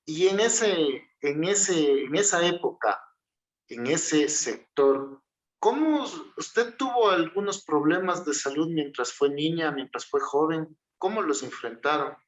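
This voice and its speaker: A man's voice: on the high side, expressive, takes it slowly, low-quality recording. Man, interviewer, polite questions, a little hesitant.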